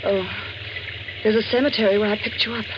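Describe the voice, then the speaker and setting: A woman's voice: mid-range voice, fairly even intonation, a little fast, very noisy, close to an everyday voice. An actress in a 1940s radio drama playing a proud, frightened married woman with a refined, dramatic delivery. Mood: uneasy. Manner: dramatic, refined, haughty when rattled. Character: glamorous married woman, proud, frightened, telling her eerie tale. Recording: muffled vintage radio recording, music behind.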